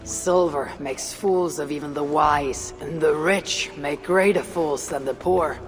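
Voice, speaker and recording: A determined Norse raider woman in a game cutscene. Her voice sits low, and she talks in a blunt, measured, grave manner. Music plays underneath. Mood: firm. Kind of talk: video game cutscene acting.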